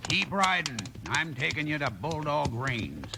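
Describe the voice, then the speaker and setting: A male actor playing a gruff, bossy, suspicious cowboy gunman with a Western accent in a 1950s radio drama. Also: fairly high pitch, big pitch swings, measured pace, exaggerated voice. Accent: American Western. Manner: gruff, commanding. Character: hard-nosed, suspicious, bullying western gunman. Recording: noisy vintage 1950s radio recording.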